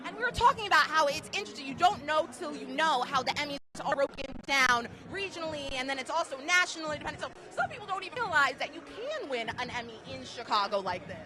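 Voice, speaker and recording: Chatty woman host, high and quick, crowd behind. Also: music in the background. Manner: excited, chatty. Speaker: red carpet host. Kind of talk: red carpet interviewing.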